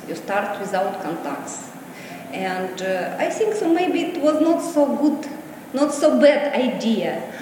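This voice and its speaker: A middle-aged woman from Russia with a Russian accent, in halting non-native English that repeats words and restarts, giving a street interview as a boutique owner. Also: mid-range voice, noisy background. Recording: echoey long reverb, clear background ambience.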